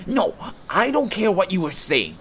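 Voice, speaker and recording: A man's voice: sits high, huge pitch swings, a little slow, fairly bright tone, slight background noise, exaggerated voice. A man playing a resentful, whiny fantasy creature in an audio drama, griping and blustering as a would-be mutineer. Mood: indignant.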